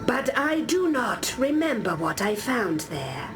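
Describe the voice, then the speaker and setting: Aloof scholarly warrior spirit, game monologue, high female voice, unhurried and deliberate, music behind. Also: big pitch swings. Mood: earnest, pondering. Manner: measured, reflective, deliberate storytelling. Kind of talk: scripted game character monologue.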